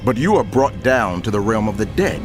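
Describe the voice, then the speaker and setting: An actor playing a prophet narrator, solemn and declamatory, in dramatic scripture narration. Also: male voice, on the low side, sing-song, a little fast. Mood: solemn declaiming. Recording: music under the voice.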